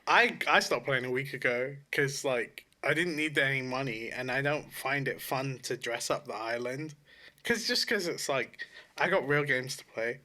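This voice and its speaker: A man's voice: medium pitch, normal speed, pushed and bright. A man co-hosting a podcast, chatting casually about video games in a blunt, joking, informal way. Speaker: podcast co-host.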